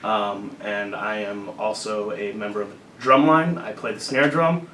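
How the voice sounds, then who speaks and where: A young man in his early twenties from Illinois, answering interview questions in a measured, steady way. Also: on the low side, reverberant, far from the mic, a little hiss or hum. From Illinois, USA. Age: early 20s. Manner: measured, steady, some fillers. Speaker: student guest.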